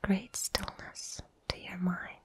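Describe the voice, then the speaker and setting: Very slow whispering woman, ASMR guide. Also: medium pitch, steady tone. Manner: soft whispering, soothing, unhurried with long pauses. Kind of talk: whispered ASMR guided visualization for relaxation and sleep.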